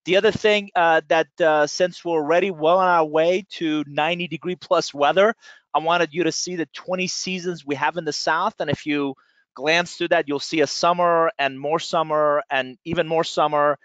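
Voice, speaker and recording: A middle-aged man from Iran, a guest speaker giving an energetic, chatty webinar presentation with many fillers, talking from his office into a computer mic. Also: a little high, normal speed, no room sound. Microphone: computer mic on a webinar call. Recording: dry, dull lo-fi webinar audio.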